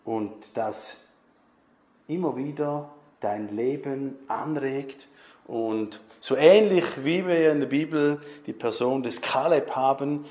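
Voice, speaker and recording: A man's voice: medium pitch, slow, a little mellow. A man preaching a conversational sermon, putting questions to the congregation. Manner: chatty, asks the congregation questions. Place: church hall. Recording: echoey church hall.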